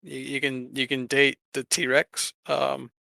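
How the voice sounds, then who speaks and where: Male podcast co-host, casual list-reader. Crisp, bright voice, informal and a little hesitant. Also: medium pitch, little pitch movement, slow pace, dry recording. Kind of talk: casual chat, presenting a countdown list.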